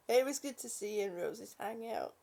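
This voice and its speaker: A British woman hosting a casual live chat from her bed, greeting viewers warmly as honey and sweetie and sometimes dropping to a soft whisper. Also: a little high, gravelly. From British, born in Singapore. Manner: slow, soft, trailing off into whispers. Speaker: livestream host chatting with viewers. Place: at home in bed.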